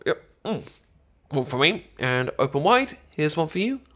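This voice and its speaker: A man acting a shy British best friend with a crush in a romantic ASMR roleplay. He speaks slowly and tenderly, stammering and hesitating, affectionate throughout. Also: a little high, sing-song, fairly bright tone. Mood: gentle, instructing. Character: shy, affectionate boyfriend-to-be best friend teaching sushi making.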